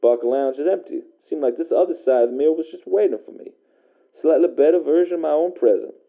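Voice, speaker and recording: A man playing a weary working-class caller on a radio call-in drama, telling an eerie first-person story in a folksy, halting way through a narrow radio filter. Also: a little high, gravelly. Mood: bewildered. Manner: folksy, colloquial, halting, hesitant delivery. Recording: narrow tinny phone-like radio sound.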